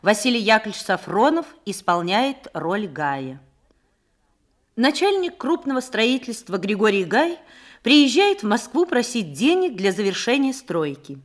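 Narrator: a woman with a raised, expressive voice, solemn and formal. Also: a little high, unhurried. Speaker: documentary narrator. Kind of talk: scripted narration.

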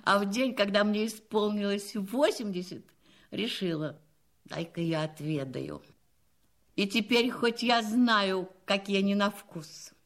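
A woman's voice: medium pitch, lively intonation, a little slow. An actress playing an elderly spinster lady in a drama, candid and gracious, with a reflective, teasing manner. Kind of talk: acted radio-play style dialogue. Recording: music playing behind the voice.

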